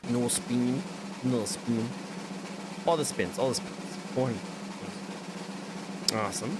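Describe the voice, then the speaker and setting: A man hosting a casual interview, chatty and quick to laugh. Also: mid-range voice, over loud music. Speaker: interview host.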